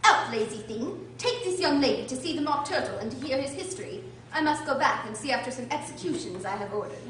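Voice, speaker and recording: Furious, bossy storybook Queen for radio drama; sharp, high, commanding shouts, picked up from afar. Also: lively intonation, brisk. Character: furious, imperious storybook Queen who orders beheadings. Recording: roomy, distant recording with background noise.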